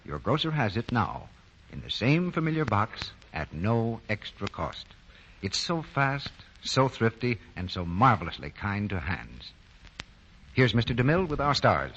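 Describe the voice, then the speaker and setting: A man's voice: lowish voice, sing-song, a little slow, a bit bright, muffled recording. An American man reading scripted commercials and credits as a 1940s radio announcer. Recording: noisy, band-limited 1940 radio broadcast.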